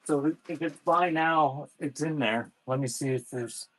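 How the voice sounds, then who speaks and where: A man running a casual live-stream sale, talking in an offhand, halting way and thanking viewers by name. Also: on the high side, a bit bright. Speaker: live online seller.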